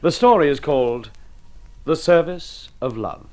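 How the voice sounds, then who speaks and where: A man's voice: on the high side, expressive, unhurried. A man narrates an old radio drama in a formal, theatrical storyteller's style, with an Australian accent. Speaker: narrator and presenter. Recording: vintage radio recording with music.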